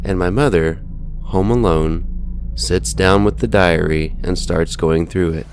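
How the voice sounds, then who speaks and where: A man with a low-pitched voice narrates scripted ghost stories. His delivery is measured and deliberate, with pauses between phrases. Music plays clearly behind the voice. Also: rough recording.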